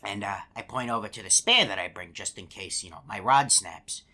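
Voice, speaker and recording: An easygoing hippo animatronic, voiced by a man, deep yet bright and forward in tone. He rambles through a folksy yarn, peppered with uh and you know. A fan-game character monologue. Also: animated, a little fast, breathy edge, exaggerated voice. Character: chatty male hippo animatronic, easygoing storyteller.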